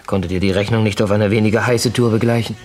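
A wary gangster's man played by a male actor, low-voiced and fast. He puts short probing questions in a flat, controlled tone, in old radio-play style. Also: a little hiss or hum, lightly acted. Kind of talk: 1960s German radio play acting.

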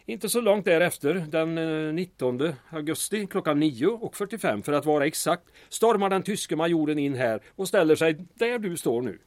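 An actor playing a guarded small-town mayor in an audio drama, formal and precise, with slight hesitations and a pedantic care for detail. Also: male voice, a little high, animated, hardly any pauses, no echo, natural voice. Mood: dry, recounting.

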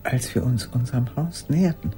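An actress playing an old woman haunted by a lost love, speaking in a halting, intimate radio drama monologue. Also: a bass voice, warm tone, faint music behind. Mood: haunted, hushed. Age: older. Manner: halting, intimate, sometimes dropping to a whisper.

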